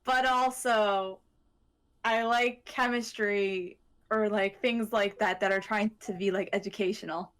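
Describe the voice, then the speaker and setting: Thoughtful, halting woman in casual discussion. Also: mid-range voice, steady tone, fairly bright tone, clear, resonant tone. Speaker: podcast co-host.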